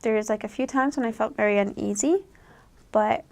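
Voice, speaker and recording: A woman's voice: on the high side, moderate pace, gravelly. A young woman from the United States, a returned missionary, giving chatty advice and laughing often as she acts out quoted voices. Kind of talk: casual advice talk.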